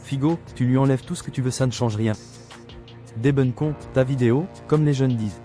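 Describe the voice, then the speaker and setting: A middle-aged man talks straight at the listener in a combative, sarcastic rebuttal, lecturing as if to a younger rival. His voice is mellow and dark, pitched in an ordinary middle range. Faint music plays underneath. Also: a little fast. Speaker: video commentator. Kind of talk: scripted rebuttal monologue.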